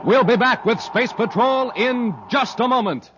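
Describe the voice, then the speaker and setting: A man's voice: very high voice, unhurried. An American man announcing a 1950s radio serial in an emphatic, punchy, dramatic style. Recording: muffled, hissy old 1950s radio recording.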